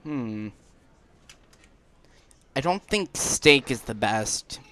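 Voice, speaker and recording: A man's voice: medium pitch, animated, extremely slow, bright, forceful tone, clean tone. A man giving casual gameplay commentary, thinking aloud as he plays. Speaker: gaming streamer. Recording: game music behind the voice.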